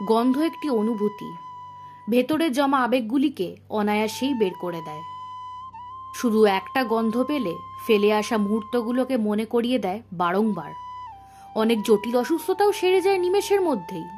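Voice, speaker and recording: A woman presents a story podcast from a script. Her voice is on the high side. Light music runs underneath as she introduces and wraps up. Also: lively intonation, moderate pace, a little mellow. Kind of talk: scripted introduction and outro narration.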